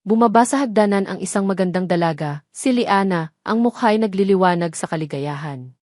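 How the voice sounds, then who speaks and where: A woman reads a scripted romance as a dramatic storyteller. Her voice sits at a middle pitch. The sound is close and dry. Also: darkish tone, no echo.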